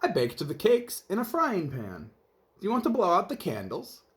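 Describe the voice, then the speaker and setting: A man's voice: high voice, wildly swooping pitch, moderate pace, voice pushed up. A man voicing a cheerful, literal-minded housekeeper woman in a children's storybook, eager and matter-of-fact.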